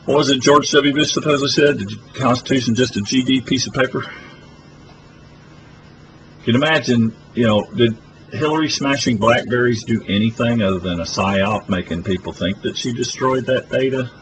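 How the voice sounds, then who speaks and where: A man's voice: mid-range voice, normal speed, background noise. A man hosting a live public access broadcast, rambling and hesitant with many fillers as he leaves voicemails. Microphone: phone camera.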